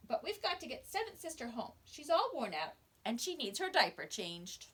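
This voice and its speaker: A woman's voice: sits high, big pitch swings, normal speed, a bit bright. A woman doing a brave, bossy big sister. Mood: firm. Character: brave, fast, strong older girl sister.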